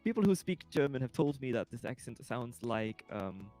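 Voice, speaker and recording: Sex: man. Role: streamer and voice actor. Style: casual livestream chat. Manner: rambling, full of ums. Pitch: average. Behind: faint music. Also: sing-song, normal speed, dark tone. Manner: rambling, with many ums and self-corrections.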